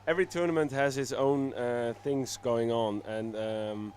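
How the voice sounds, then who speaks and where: A man's voice: mid-range voice, fairly even intonation, measured pace, darkish tone, slight background noise. A man speaking as a studio guest in a casual live interview, easygoing, feeling out his phrases piece by piece.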